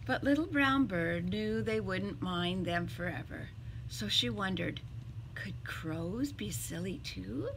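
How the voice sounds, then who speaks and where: A middle-aged woman doing an expressive, theatrical read-aloud of a picture book for young children. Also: medium pitch, slow, gravelly, background noise. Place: small indoor room with bookshelves.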